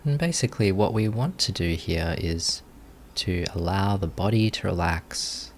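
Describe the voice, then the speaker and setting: A man leading a guided meditation as a teacher, giving gentle, unhurried instructions with long pauses between phrases. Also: sits low, expressive, a little hiss or hum.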